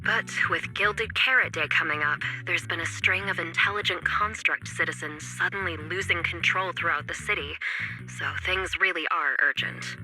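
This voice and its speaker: Sly, composed adult female agent for an anime game, very bright edge, coy and measured, faint music. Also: fairly low pitch, low-quality recording. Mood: serious, measured. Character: sly, composed adult woman operative, teasingly polite.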